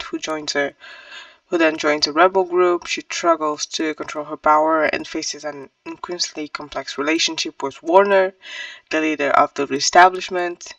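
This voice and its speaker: A woman's voice: medium pitch, gravelly, a bit bright, no echo, distant mic. A woman giving a casual, opinionated book review in an informal, emphatic way.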